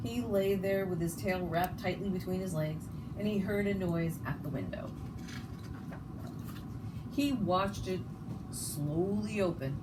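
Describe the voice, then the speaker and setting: A woman's voice: on the low side, a little slow, long pauses, distant mic. A woman reading a children's story aloud expressively, sometimes stumbling and rereading words. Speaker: storybook reader. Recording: noticeable background noise.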